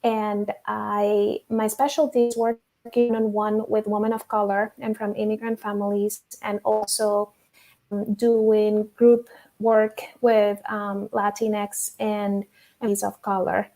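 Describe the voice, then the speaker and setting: A woman's voice: mid-range voice, flat delivery, measured pace, mellow, poor audio. A woman from Venezuela, a mental health counselor, speaking thoughtfully as a podcast guest. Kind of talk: casual interview talk.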